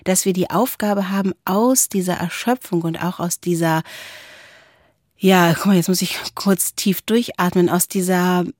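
Low-voiced reflective woman, dry sound. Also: fairly even intonation, measured pace, darkish tone, no echo. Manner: reflective, searching for words. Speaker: podcast co-host. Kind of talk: conversational philosophy podcast talk.

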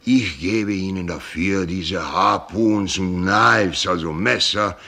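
Gravelly old sea captain. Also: male voice, sits low, slow, bright, forceful tone, full character voice. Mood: sentimental, earnest. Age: older. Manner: rambling, folksy storyteller, sprinkles in English words. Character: old sea captain, boisterous, tipsy, sentimental, shrewd. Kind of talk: radio play acting.

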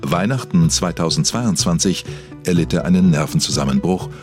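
A man's voice: deep voice, lively intonation, moderate pace, music in the background. A man narrating a mock celebrity documentary as a solemn, scripted storyteller. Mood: deadpan solemn.

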